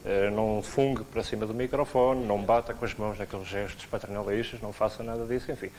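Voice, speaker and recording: A man's voice: on the low side, fairly even intonation, fast, a little mellow. A man with a European Portuguese accent, a TV sound assistant, explaining his work in a practical, matter-of-fact way. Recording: old VHS TV recording, faint hiss.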